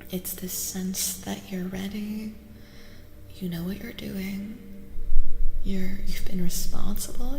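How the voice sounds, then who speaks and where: A soft-spoken, breathy woman who often drops into a whisper as she gives a gently reassuring ASMR tarot reading, repeating phrases slowly. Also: medium pitch. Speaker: ASMR host and tarot reader. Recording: echoing long reverb, music underneath.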